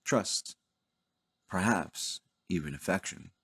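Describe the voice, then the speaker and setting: Male narrator for dramatic audio storytelling: solemn, slightly husky, unhurried, measured pauses. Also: low voice, animated, fairly bright tone. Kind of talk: slow cinematic scripted narration.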